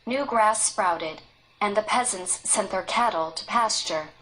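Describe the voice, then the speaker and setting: Woman reading a kids story out loud, takes her time, steady and calm. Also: medium pitch, a little hiss or hum, low-quality recording. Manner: slow, even, careful storytelling. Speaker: story reader. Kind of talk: read-aloud storybook narration.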